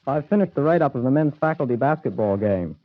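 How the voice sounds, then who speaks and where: A teenage American student reporter reading scripted news stiffly on an old lo-fi 1940s radio broadcast. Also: male voice, medium pitch, expressive, quick pace. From United States. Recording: dull lo-fi 1940s radio recording.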